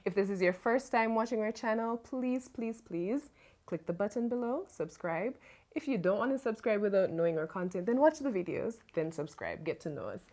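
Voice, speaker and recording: A woman's voice: medium pitch, animated, normal speed, mellow. A Jamaican woman co-hosting a casual chat, talking freely and laughing easily. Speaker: co-host.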